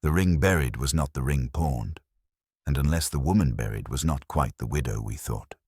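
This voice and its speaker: Mild police inspector as read by a male narrator: very low voice, husky, understated, dryly witty, radio mystery. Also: normal speed, dry recording, studio quality. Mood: soft, thoughtful. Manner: quiet, gentle, understated.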